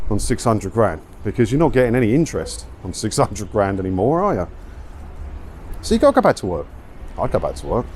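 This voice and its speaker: Animated, opinionated English man talking outdoors. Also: medium pitch. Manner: chatty, opinionated, with rhetorical questions. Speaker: video blogger. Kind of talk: casual walk-and-talk commentary on news stories. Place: outdoors, walking around a seaside town. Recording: outdoor street noise, traffic.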